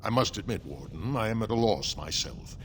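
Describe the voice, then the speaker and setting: An actor playing an exhausted, stern but courteous dwarf assembly steward in a video game. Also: male voice, on the low side, expressive, a little fast, slight background noise, lightly acted. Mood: weary, courteous. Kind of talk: video game dialogue acting.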